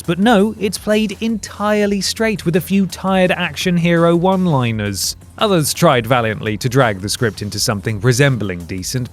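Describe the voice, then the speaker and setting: A man narrating a scripted video game review with a dry, sardonic delivery. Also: fairly high pitch. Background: faint background music.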